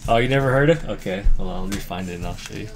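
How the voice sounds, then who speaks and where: A man hosting a card break, reading out names as he opens packs and chatting with viewers, with sudden bursts of excitement. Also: lowish voice, very fast, background noise. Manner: reads names aloud, animated bursts of excitement, chats with viewers. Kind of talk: casual live card-opening commentary. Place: indoor room, hands over a table of card boxes.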